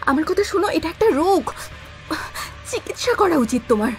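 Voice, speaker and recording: A woman's voice: very high voice, sing-song, normal speed, faint music behind. A woman acting a defiant, distressed wife in an audio drama, indignant and pleading with her husband.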